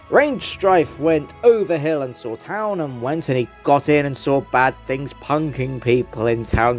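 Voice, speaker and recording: Theatrical male narrator, lively pitch. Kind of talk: mock-earnest dramatic story reading. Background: background music.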